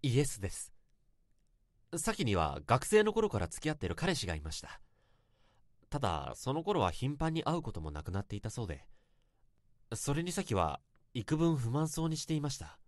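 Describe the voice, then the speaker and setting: A man's voice: mid-range voice, big pitch swings, moderate pace, no echo, studio quality, close to an everyday voice. A man acting in a radio drama as a self-conscious young man, earnestly and politely recounting an awkward romantic memory in a confessional monologue.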